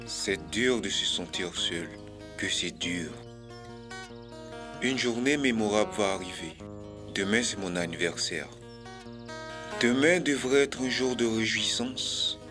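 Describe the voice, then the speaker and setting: An actor playing a lonely, mournful giraffe uncle in a children's cartoon, drawing out his sad lines over guitar music. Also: lowish voice. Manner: slow, mournful, drawn-out. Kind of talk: French-dubbed children's cartoon, sung lament. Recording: music behind the voice.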